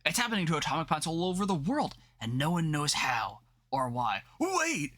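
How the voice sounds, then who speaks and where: A man's voice: fairly high pitch, big pitch swings, normal speed, a bit bright. A man voicing an earnest, declamatory scientist and team leader in a dramatic comic-book reading. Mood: urgent. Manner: declamatory, urgent. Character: commanding, earnest male scientist hero, melodramatic team leader.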